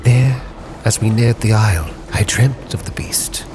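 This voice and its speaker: A man narrating a sea captain's log in a measured, literary, theatrical storytelling style. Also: fairly low pitch, background noise. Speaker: roleplay storyteller, channel host. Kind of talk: dramatic scripted narration. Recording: prominent background music. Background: music.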